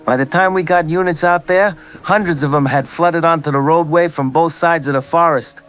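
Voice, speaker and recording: An actor playing a rattled veteran highway patrol officer, plain-spoken and folksy, interviewed by phone in an audio drama. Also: male voice, fairly even intonation, moderate pace, fairly bright tone. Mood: disbelieving. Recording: muffled phone line, music behind.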